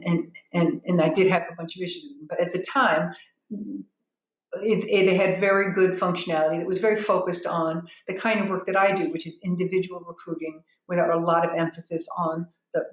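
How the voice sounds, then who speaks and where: Woman, slightly low voice, business-owner testimonial. Halting, unscripted, frank delivery; roomy sound. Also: fairly even intonation. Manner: hesitant, halting, with repeated words. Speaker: business owner giving a software review.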